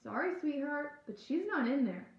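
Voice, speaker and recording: A woman's voice: high-pitched, animated, moderate pace, lo-fi sound, voice pushed up. Woman playing a matter-of-fact cemetery groundskeeper, echoey sound. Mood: firm, dismissive. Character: matter-of-fact male cemetery groundskeeper, firm but kindly. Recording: echoey room.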